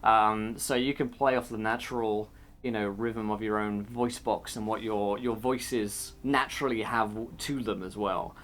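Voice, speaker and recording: A man, the game master, chatting casually and giving roleplay tips, with a relaxed, rambling delivery. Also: on the low side, unhurried. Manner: relaxed, rambling explanations with many fillers.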